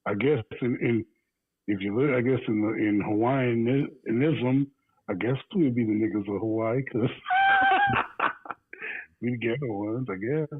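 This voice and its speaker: A man's voice: mid-range voice, wildly swooping pitch, a little slow, long pauses, husky. A laid-back man with a Midwestern American accent, chatting casually as a guest with fillers and chuckles. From US mainland, Midwest. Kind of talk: casual chat. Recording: tinny phone line, dry.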